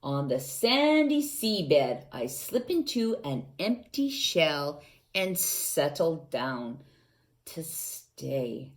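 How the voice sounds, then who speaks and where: A woman reading a picture book aloud to young children, gently asking them questions and adding playful sound effects. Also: deep voice, sing-song, extremely slow. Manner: gentle, coaxing, asks the young listeners questions.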